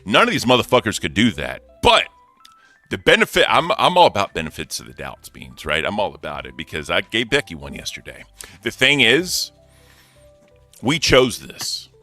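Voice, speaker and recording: Man, deep voice, very low pitch, lively swings, forceful bright tone, defiant livestream rant. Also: normal speed, soft background music. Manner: animated, defiant rant with swearing. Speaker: co-host. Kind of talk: casual livestream chat.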